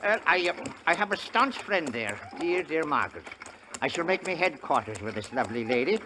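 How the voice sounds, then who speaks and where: Roguish Irish peddler of the Old West: talkative, flowery, very animated delivery, 1950s radio drama with clear background noise. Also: male voice, a little high, fairly quick. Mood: boastful, jovial. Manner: blustery, theatrical, flowery phrasing. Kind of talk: 1950s radio Western acting. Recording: noisy vintage 1950s radio recording.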